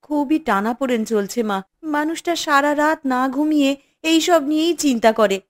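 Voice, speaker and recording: A woman's voice: sits high, normal speed, lightly acted. A woman voicing a dutiful young daughter-in-law in a cartoon, respectful and soothing. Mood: gentle, respectful. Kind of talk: scripted cartoon dialogue.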